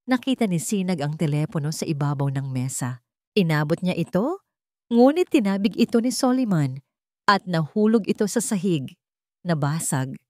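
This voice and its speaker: A woman with a Filipino accent narrating a dramatic story in a measured, suspenseful storytelling manner. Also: fairly low pitch, animated, dark tone, no room sound, clean studio sound. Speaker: audiobook narrator.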